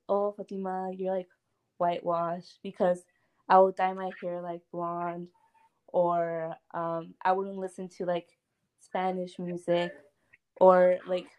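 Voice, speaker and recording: A woman's voice: on the low side, darkish tone, smooth. Hesitant young woman, full of "like". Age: young adult. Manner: hesitant, heavy use of "like". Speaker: student panelist. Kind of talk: casual panel discussion.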